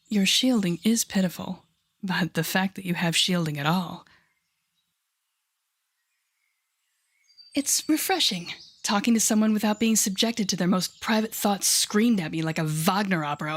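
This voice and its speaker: Woman playing a husky, low-voiced sardonic villainess. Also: lightly acted. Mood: intrigued, probing. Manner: mocking, theatrical, deliberate with dramatic pauses. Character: sardonic, menacing telepathic woman villain taunting a rookie hero. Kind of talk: audio roleplay acting addressed to the listener.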